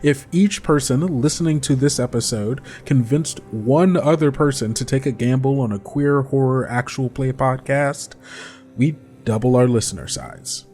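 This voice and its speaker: Guy hosting his podcast, friendly promo read, mid pitch, soft music behind. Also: lively intonation, normal speed. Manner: friendly, direct appeals to listeners. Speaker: podcast host.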